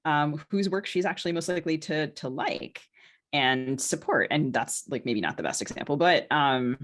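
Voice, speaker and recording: A woman's voice: sits low, animated, moderate pace, fairly bright tone. A woman in a casual podcast conversation, speaking as a novelist and co-host in a hesitant, circling way with many qualifications.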